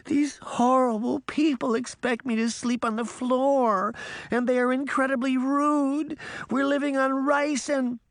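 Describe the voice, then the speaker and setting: Man voicing a whiny, high-pitched little puppet boy. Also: fairly even intonation, moderate pace, hardly any pauses, clear, resonant tone, no echo, lightly acted. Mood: self-pitying wailing. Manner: melodramatic, pleading. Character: whiny, pleading, self-pitying puppet-turned-boy.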